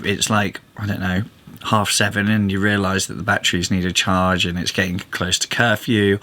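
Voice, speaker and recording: A man talking casually in a vlog, hoarse after losing his voice, chatty and rambling with fillers. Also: sits low, sharp tone. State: hoarse, lost voice. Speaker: vlogger. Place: narrowboat.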